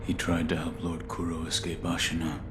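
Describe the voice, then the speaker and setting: A man's voice: deep voice, monotone, a little fast, noisy background, close to an everyday voice. A man playing a stoic, loyal shinobi bodyguard in a game cutscene, speaking tersely and with grave deference. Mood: guarded. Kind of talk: dramatic game cutscene acting.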